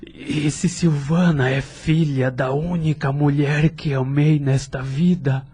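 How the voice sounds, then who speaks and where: A man acting a middle-aged grieving widower in an audio drama, with a husky, breathy voice, a Brazilian accent and a halting, emotional, formal delivery. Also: fairly high pitch, fairly even intonation, warm tone. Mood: tender, resolute. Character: grieving, tender widower, deeply moved gentleman.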